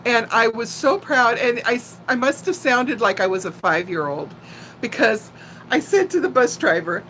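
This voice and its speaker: A woman in her sixties chatting casually as a live stream host, telling personal stories in a playful, animated way. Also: a little high, moderate pace, a little hiss or hum, poor audio. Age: 60s. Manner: animated, playful storyteller who laughs easily and often. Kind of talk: casual chat and personal storytelling.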